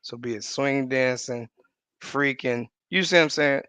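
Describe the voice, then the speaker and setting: A man's voice: medium pitch, a little slow, bright, forceful tone, smooth, no echo. A man co-hosting a podcast in casual chat, emphatic and joking, repeating his lines for effect. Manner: emphatic, repeats punchlines, jokes. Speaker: podcast co-host.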